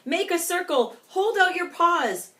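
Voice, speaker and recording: A woman voicing a small, earnest young prairie dog who pleads and shouts urgently. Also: very high-pitched, expressive, a little slow, pitched above the performer's own voice, heavily acted.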